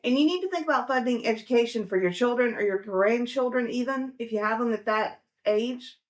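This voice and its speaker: A woman's voice: a little high, a little fast. A woman in her forties giving casual, down-to-earth money advice, chatty and full of fillers. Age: 40s. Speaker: personal finance host. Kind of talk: casual advice talk to camera.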